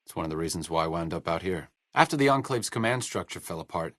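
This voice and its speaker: Deep-voiced, dry-witted bookish doctor. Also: male voice, animated, fast talker, a bit bright, no room sound. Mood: reflective, serious. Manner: sardonic, articulate, understated. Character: dry-witted, bookish male doctor and researcher, idealistic and self-deprecating. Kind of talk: video game dialogue acting.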